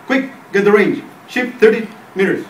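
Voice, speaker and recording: A man's voice: sits high, moderate pace, a little hiss or hum, close to an everyday voice. A man playing a clipped, commanding artillery officer in a radio play, heard from a distance in an echoey room. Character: commanding artillery officer barking firing orders. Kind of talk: radio drama acting.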